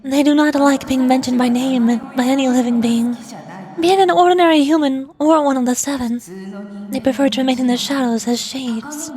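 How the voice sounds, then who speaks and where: A woman's voice: high voice, fairly even intonation, normal speed, a little mellow, lightly acted. A woman voicing a solemn, all-knowing ancient ruler from a fantasy game, reading formal explanatory dialogue aloud.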